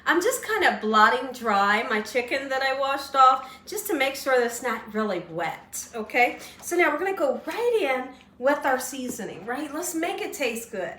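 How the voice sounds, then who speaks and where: A slightly hoarse woman with a fairly high voice walks viewers through a recipe in a chatty, folksy way, often checking in with "right?". She sounds a little away from the mic in an echoey kitchen, with faint background hiss. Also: very animated, moderate pace, hardly any pauses, pushed and bright, recorded from a distance. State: slightly hoarse, losing her voice. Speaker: cooking show host. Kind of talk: casual cooking demonstration. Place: home kitchen.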